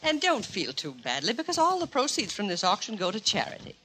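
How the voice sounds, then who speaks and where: A woman's voice: mid-range voice, wildly swooping pitch, brisk. An actress playing a wealthy, gracious society lady in a 1940s radio comedy, speaking formally and genteelly. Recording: vintage 1940s radio recording, faint noise.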